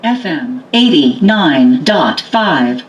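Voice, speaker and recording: A woman's automated voice assistant giving short, measured announcements, played through a device speaker. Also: deep voice, big pitch swings, lo-fi sound. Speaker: voice assistant of a car radio. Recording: device speaker with music behind.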